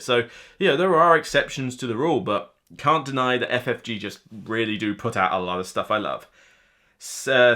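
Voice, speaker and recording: A man's voice: medium pitch, bright, forceful tone. A man in his thirties giving casual, enthusiastic, conversational commentary to camera as a board game reviewer. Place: indoor room lined with game shelves.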